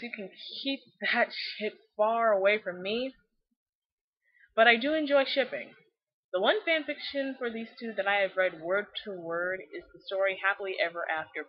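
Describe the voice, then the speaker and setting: A woman giving scripted but casual fan commentary in a blunt, slangy, opinionated way, with animated delivery. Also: mid-range voice, normal speed, husky. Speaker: fan video series host. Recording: slightly dull lo-fi recording.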